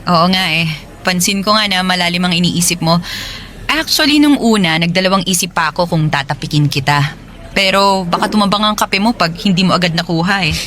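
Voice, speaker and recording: A woman's voice: fairly low pitch, brisk, lightly acted. An actress playing a friendly single office woman, chatty and sympathetic, in casual naturalistic radio drama dialogue. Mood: friendly, teasing. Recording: studio voice with soft music underneath.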